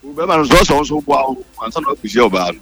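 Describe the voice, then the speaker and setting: A man's voice: medium pitch, lively intonation, very fast, fairly bright tone, breathy edge, soft background music. A man calling in to a radio show and talking in long runs. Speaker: caller.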